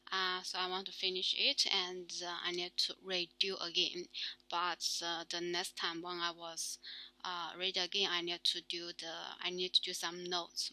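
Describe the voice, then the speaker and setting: Slow, hesitant woman, Chinese accent. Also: on the low side, sharp tone, no room sound, low-quality recording. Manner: halting, many fillers and restarts. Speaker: solo talk show host. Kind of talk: casual spoken practice monologue.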